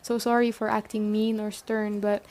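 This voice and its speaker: A woman playing a stern but caring survivor camp leader in an ASMR roleplay, speaking briskly and matter-of-factly straight to the listener. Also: mid-range voice, little pitch movement, darkish tone, lightly acted. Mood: apologetic, softening. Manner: brisk, matter-of-fact, addressing the listener directly.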